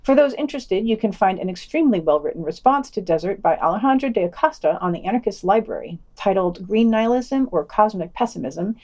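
A woman's voice: mid-range voice, expressive, fairly quick, hardly any pauses, a little mellow. Woman podcast host reading out news items, mid-range voice, kinda sardonic with little side comments. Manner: wry, sardonic asides. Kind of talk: scripted news round-up reading with asides.

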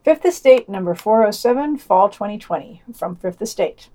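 A female podcast presenter with an average-pitched voice reads through scripted news items. She breaks up the reading with casual comments and a playful, wry humor. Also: lively intonation, moderate pace, warm tone.

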